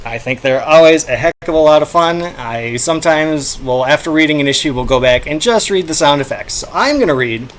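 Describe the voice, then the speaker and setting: Middle-aged male review host, playful and casual, lively swings in pitch, faint noise behind the voice. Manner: playful, animated, breaking into shouted sound effects. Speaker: comic book review show host.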